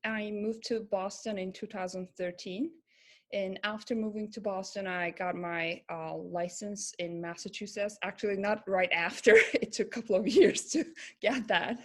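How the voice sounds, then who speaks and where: A woman from Turkey, a career coach and pharmacist, talking in a casual interview in a thoughtful, halting way with many fillers and restarts. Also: mid-range voice, steady tone.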